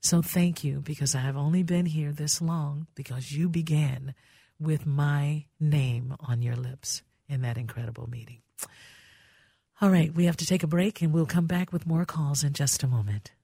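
A woman's voice: low voice, moderate pace, creaky, slightly rough, no room sound, clean studio sound. A woman radio talk show host tells a heartfelt story about how she got her start on air, acting out other people's voices in her anecdotes. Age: older. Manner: warm reminiscing, acts out voices in her anecdotes. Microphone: studio microphone.

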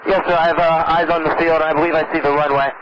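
A man's voice: a little high, flat delivery, fast, crowd noise. A young man, a student pilot, making polite radio calls to air traffic control from a small cockpit, under stress. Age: young adult. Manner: polite, deferential. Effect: aircraft radio. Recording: noisy muffled cockpit radio transmission.

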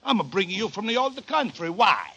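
An actor playing a pushy, wheedling middle-aged Italian restaurant owner with an Italian accent and broken English in a 1940s radio comedy. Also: male voice, high voice, very animated, normal speed, very noisy. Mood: scheming, fatherly. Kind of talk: 1940s radio sitcom acting.

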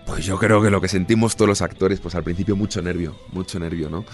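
Spanish-accented man, 30s, deep low register, relaxed talker who restarts often; faint music behind. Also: fast. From Spain. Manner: easygoing, chatty, with frequent restarts. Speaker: actor guest. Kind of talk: relaxed interview answers.

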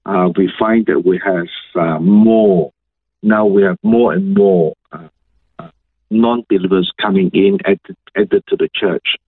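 Malaysian pastor guy, middle aged, lowish voice, halting interview answers, tinny phone-like call audio. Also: creaky, husky, dry recording. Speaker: senior pastor, interview guest. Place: remote video call.

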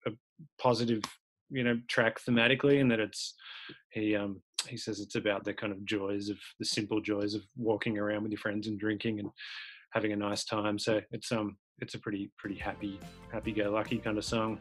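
An Australian man with a deep, narrow-ranged voice, a band member answering interview questions casually, with lots of fillers and restarts. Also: level tone, fairly quick, soft background music. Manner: hesitant, many restarts and fillers.

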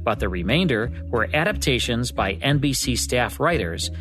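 A man's voice: mid-range voice, big pitch swings, moderate pace, fairly bright tone. An American man hosts a radio show. He reads scripted narration in a mid-range voice, with music playing underneath.

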